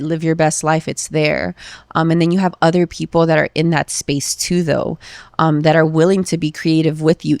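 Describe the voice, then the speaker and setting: A woman's voice: low-pitched, no room sound, clean studio sound. A woman in her thirties from Los Angeles, a podcast guest talking candidly and emotionally, with lots of filler words. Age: 30s. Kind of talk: casual conversational interview.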